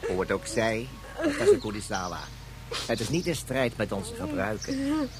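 Pompous, pedantic court official. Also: male voice, medium pitch, huge pitch swings, normal speed. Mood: solemn. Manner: formal, pompous, ceremonious. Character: pedantic, obedient male court official, explaining strict protocol. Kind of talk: Dutch science fiction radio play.

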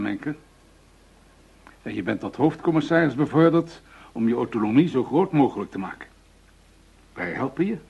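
An actor playing a stern, matter-of-fact police superior with a gravelly, husky voice in a radio drama. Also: male voice, mid-range voice, a little mellow. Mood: stern, warning. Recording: dull radio play recording.

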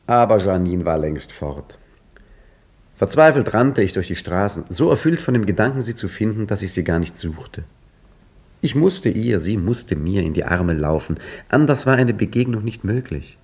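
A caretaker recounting his wanderings in a vintage radio play, his voice very low and husky. He tells the story in a measured, quietly wry way. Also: expressive. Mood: reflective. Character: Hakim, middle-aged male embassy caretaker narrating. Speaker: narrator and lead character. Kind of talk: 1950s German radio drama acting.

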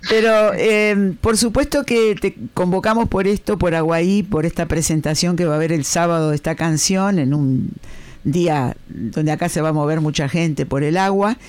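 An enthusiastic radio host, a man with a high voice. He speaks close to the mic in a dry studio sound. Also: normal speed, darkish tone, smooth, no echo. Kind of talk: radio interview.

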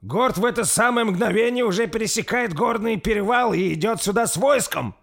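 A man voicing a defiant, scheming lord who speaks loudly and taunts his rival. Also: high-pitched, expressive, normal speed, vocal fry, slightly rough, pitched above the performer's own voice, heavily acted. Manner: loud and taunting.

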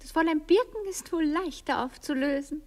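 A woman's voice: high voice, brisk, lightly acted. An actress in a German radio play, a woman playing a vain, capricious stage star who teases and mocks with melodramatic airs. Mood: grand, flirtatious. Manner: teasing, imperious, melodramatic. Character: capricious, vain, theatrical actress, seductive and mocking.

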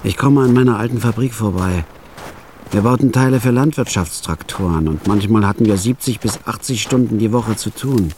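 A man narrating a radio drama in the first person as a store clerk, in a flat, laconic, hard-boiled way. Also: lowish voice, normal speed, a little hiss or hum.

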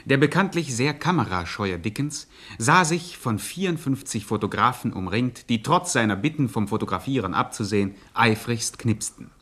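A man's voice: medium pitch, lively intonation, normal speed, few pauses, a bit bright. A man narrating a radio crime satire like a mock documentary, formal and precise.

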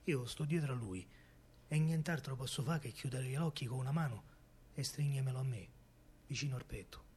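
An actor playing a coaxing, protective working-class man of wartime Rome, narrating colloquially in a husky voice with a Roman accent, as in a radio drama. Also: medium pitch, a little fast, lightly acted. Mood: hushed, shocked. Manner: colloquial, animated storytelling. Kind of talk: dramatic first-person radio monologue in dialect.

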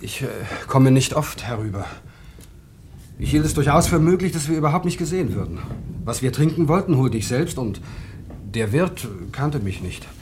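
Male actor: married man confessing, anguished, halting radio-drama delivery, slightly noisy recording. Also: medium pitch, expressive, darkish tone, lightly acted. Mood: confessional, troubled. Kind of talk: German radio drama acting.

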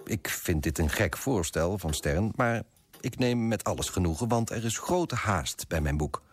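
Pompous husky coffee broker. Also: male voice, low voice, lively intonation, a little slow, heavily acted. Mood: smug, self-important. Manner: pompous, self-important. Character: pompous, fussy male coffee broker, self-righteous and judgemental. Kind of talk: first-person radio drama narration and dialogue.

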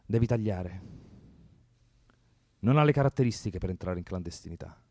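An actor playing a cold, doctrinaire communist militant in a radio drama, lecturing and provoking in a commanding way. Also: male voice, low voice, animated, normal speed, mellow, no echo, studio quality. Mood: cold, solemn.

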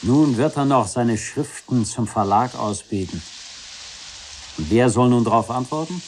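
A man's voice: mid-range voice, animated, unhurried, a little mellow, slight background noise. An actor playing an exasperated, scornful man who grumbles incredulously in a radio drama. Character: exasperated, scornful man complaining about another.

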